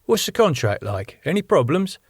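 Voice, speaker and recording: A man's voice: on the high side, sing-song, normal speed, slightly rough, dry recording, clean studio sound, pitched above the performer's own voice. A man voicing an incredulous young British mate. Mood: curious.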